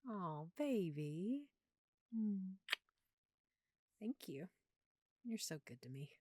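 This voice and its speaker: A woman playing an affectionate, nerdy, opinionated girlfriend in an intimate audio roleplay, talking in a playful, expressive, slightly fussy way. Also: mid-range voice, extremely slow, slight background noise. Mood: cozy, affectionate. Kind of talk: audio roleplay, intimate one-sided conversation.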